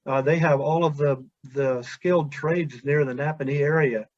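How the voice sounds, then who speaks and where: A male chaplain whose first language is Pennsylvania Dutch, giving a measured, earnest webinar talk with pauses and restarts. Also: a little high, steady tone, darkish tone, dry recording. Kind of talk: webinar presentation. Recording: dull, dry lo-fi audio.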